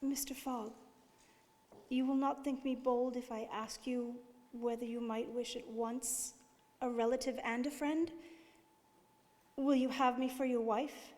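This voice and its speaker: A high, gentle voice carries through a reverberant hall: a devoted young princess played by a woman. She speaks formally and earnestly, pleading with grateful devotion in radio-play style. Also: steady tone, unhurried, long pauses, lightly acted. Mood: tender. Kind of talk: stage radio drama acting.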